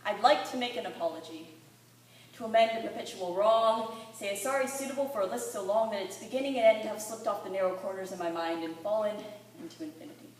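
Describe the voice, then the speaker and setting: A woman's voice: medium pitch, quick pace. A teenage girl performing spoken-word poetry with earnest, dramatic, rhythmic delivery. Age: teens, fifteen or sixteen. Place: library room with a live audience. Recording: very echoey room, distant mic, faint noise.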